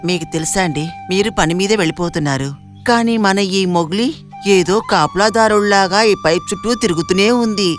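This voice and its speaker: A woman playing a poor, caring wife and mother in an animated moral story, soft-hearted and concerned. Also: medium pitch, brisk, faint music behind, lightly acted.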